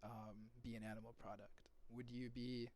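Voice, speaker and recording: A man's voice: medium pitch, level tone, normal speed, a little mellow. A young man from Somalia hosting a casual call, hesitant and persuasive, full of ums and likes. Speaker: host. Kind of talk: casual debate on a video call.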